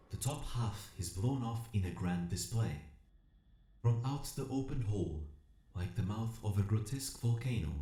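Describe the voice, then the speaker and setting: A man voicing a vast collective machine intelligence in an audio drama, narrating in a solemn, measured, formal and coldly analytical manner. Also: on the low side, warm tone. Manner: measured, formal, speaking as a plural we. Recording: roomy echo with music behind.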